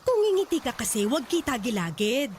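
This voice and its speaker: A woman's voice: high-pitched, very animated, a little fast, a little mellow, a little hiss or hum. A woman voicing a pushy, domineering middle-aged stage mother who scolds and brushes off objections, in an audio drama. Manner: bossy, scolding, dismissive.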